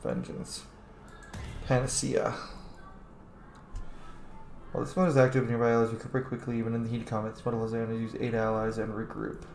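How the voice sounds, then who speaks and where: A man's voice: mid-range voice, monotone, fast talker, vocal fry, pauses often, darkish tone. Chatty man gaming in a roomy space. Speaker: video game streamer. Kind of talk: casual gameplay commentary. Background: game music.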